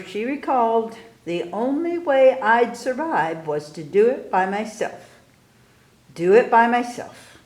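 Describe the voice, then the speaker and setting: Slow-paced woman storyteller, echoey room. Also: medium pitch, darkish tone. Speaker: library story time host. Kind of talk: read-aloud storytelling for children. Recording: roomy, echoey indoor sound.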